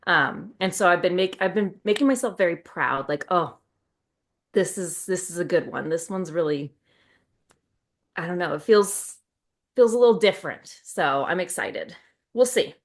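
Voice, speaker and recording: A woman writer in a casual podcast chat, speaking informally and reacting with expressive enthusiasm. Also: lowish voice, normal speed.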